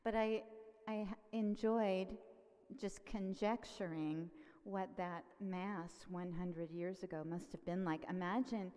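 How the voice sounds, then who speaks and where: A woman with a fairly low voice takes questions after a talk, musing aloud with pauses and fillers. Her voice rings out with a long reverb, as if she is in a large hall. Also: steady tone, mellow, smooth. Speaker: lecturer answering questions. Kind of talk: casual Q&A after a lecture. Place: church hall.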